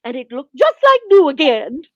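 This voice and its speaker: A woman's voice: sits high, big pitch swings, normal speed, a bit bright, lo-fi sound. A woman playing a sweet, gushing actress plugging soap in a 1940s-style radio broadcast. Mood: bright, gushing.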